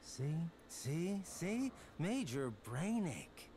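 A man's voice: a little high, very animated, extremely slow, warm tone, a little hiss or hum, exaggerated voice. An actor playing a cocky but loyal young man in a video game, exasperated and sardonic. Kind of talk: animated video game dialogue.

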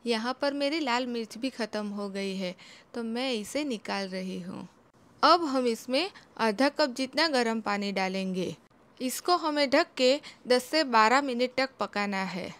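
Calm woman teaching a home recipe. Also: on the high side, unhurried. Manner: calm, instructive, homely guidance. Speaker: home cooking channel host. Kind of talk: step-by-step recipe voice-over.

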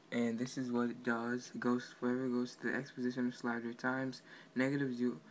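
A man giving a casual, halting, offhand walkthrough of his project, recorded indoors on a handheld camera. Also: mid-range voice, fairly bright tone. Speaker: amateur game developer. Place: indoors at a computer. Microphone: handheld camera pointed at monitor. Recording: noisy amateur room recording.

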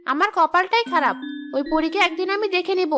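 A woman's voice: very high voice, fairly quick, a bit bright, soft background music. A woman voicing a brash, greedy village ghost in a cartoon, with a blunt, grumbling, boastful manner. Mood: bitter, self-pitying. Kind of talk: animated cartoon dialogue.

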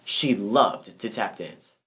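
A man's voice: fairly low pitch, lively intonation, slow, fairly bright tone. An American man presenting a scripted biography as its narrator. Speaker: presenter, grandson of the subject.

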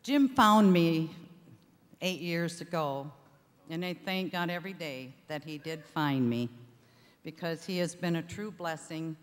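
An older woman giving an informal thank-you speech from a stage at a stand microphone, with halting, deliberate phrasing. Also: low voice. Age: older, 60s or above. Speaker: charity founder giving thanks. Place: theatre stage before an audience. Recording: reverberant hall sound.

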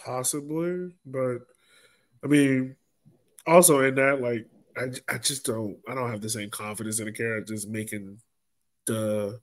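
A man's voice: medium pitch, steady tone, measured pace. A man chatting casually about sports as a podcast panelist on a video call. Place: indoor room on a video call. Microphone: video call microphone.

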